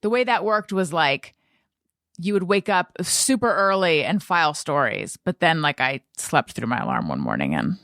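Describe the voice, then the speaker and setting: Animated woman hosting a chatty podcast. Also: sits low, moderate pace, no room sound, clean studio sound. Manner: self-deprecating, candid. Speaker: podcast host interviewing a guest. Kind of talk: casual, chatty interview.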